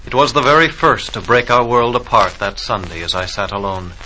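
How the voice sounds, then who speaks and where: An actor playing a young army private killed in war, plainspoken and reflective, in a 1940s radio drama. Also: male voice, mid-range voice, expressive, fairly quick, sharp tone, rough recording. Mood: wistful, reflective. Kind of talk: 1940s radio drama acting, verse monologue. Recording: vintage 1940s radio, music behind.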